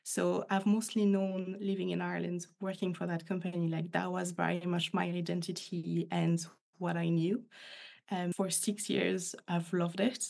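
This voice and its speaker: A woman's voice: on the low side, little pitch movement, normal speed, vocal fry, darkish tone. A woman with a French accent, a guest on a podcast interview, speaking in a reflective, thoughtful way. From France. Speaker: guest, new coach.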